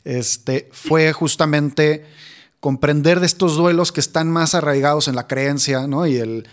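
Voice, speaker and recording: A man's voice: a little high, measured pace. A man hosting an interview, sharing personal reflections earnestly and asking probing questions. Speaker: interview host. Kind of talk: conversational interview.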